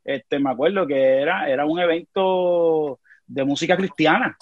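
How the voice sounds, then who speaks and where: A man with a Puerto Rican accent, a podcast guest telling animated stories in a casual conversation. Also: on the high side, moderate pace, no room sound, dull audio. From Puerto Rico. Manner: animated storyteller, frequent restarts.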